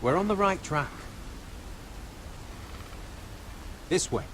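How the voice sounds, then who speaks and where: An actor playing a scrappy young wildling scout in a dramatic fantasy video game. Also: male voice, sits high, expressive, a little fast, a bit bright, slight background noise, low-quality recording. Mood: brisk. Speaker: game character.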